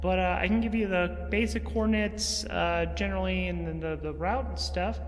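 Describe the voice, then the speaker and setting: A man's voice: high-pitched, normal speed, in a large hall, faint music behind, natural voice. A man voicing a cheeky, foul-mouthed six-year-old girl in a tabletop space adventure, slangy and offhand. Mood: offhand warning.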